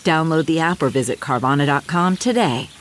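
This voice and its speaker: A woman reading a commercial in a breezy, lilting, relaxed way. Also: low voice, fairly quick, slight background noise.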